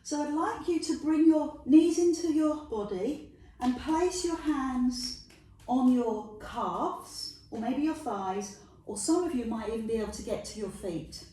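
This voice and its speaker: A woman's voice: high voice, lively intonation, a little slow, dark tone. A middle-aged woman with a London accent leading a Pilates workout, counting reps aloud, cheering the viewer on and making jokey asides. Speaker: Pilates instructor. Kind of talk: guided workout instruction. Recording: echoey room, voice from a distance.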